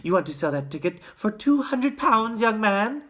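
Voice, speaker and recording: A reader voicing a pushy woman in a crowd in a storybook, shouting indignantly. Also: mid-range voice, very animated, normal speed, voice pushed up.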